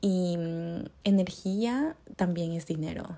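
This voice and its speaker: Upbeat woman in her 30s giving life advice on her podcast, kinda low voice, calls everyone honeys. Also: unhurried, dark tone. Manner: upbeat, encouraging, addresses listeners as honeys. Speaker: podcast host. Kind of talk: casual motivational monologue.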